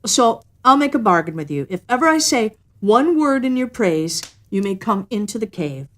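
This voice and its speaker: A woman's voice: a little high, sing-song, moderate pace, fairly bright tone. Woman playing a firm, shrewd storybook cave woman. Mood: amused, bargaining.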